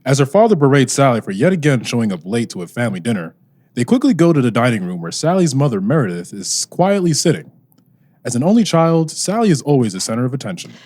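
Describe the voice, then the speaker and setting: A man's voice: mid-range voice, sing-song, fast talker, darkish tone. A man narrating like an old-time radio serial announcer, theatrical and building suspense. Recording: music under the voice.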